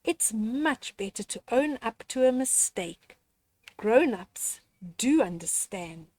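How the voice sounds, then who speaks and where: Female storyteller for kids' read-aloud, older, higher-pitched, unhurried, expressive and gently instructive. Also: no echo. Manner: expressive, with deliberate pauses and dramatic gasps; gently instructive, addressing a child listener. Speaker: storyteller reading children's books aloud.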